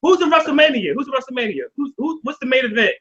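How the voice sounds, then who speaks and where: High-voiced excitable man on a video call. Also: fast talker. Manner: laughs often, rambling and excitable. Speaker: show host. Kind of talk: casual live video chat. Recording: dull lo-fi video call audio.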